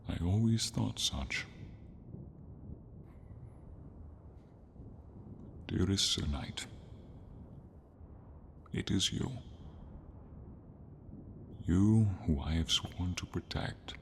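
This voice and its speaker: A man voicing a friendly, devoted silver dragon in an ASMR fantasy roleplay, deep and breathy, speaking tenderly with dramatic pauses. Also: a bit bright, a little hiss or hum, full character voice.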